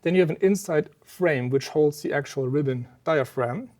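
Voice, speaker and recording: A man's voice: fairly high pitch, moderate pace, a little mellow. Man explaining technical gear in an interview. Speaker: loudspeaker company representative explaining a product. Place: exhibit room at an audio trade show.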